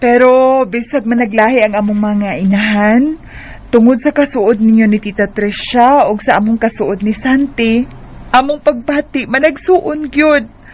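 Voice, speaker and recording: A woman's voice: fairly high pitch, a little slow, a little mellow. A woman acting an affectionate, teasing eighteen-year-old daughter in a radio drama.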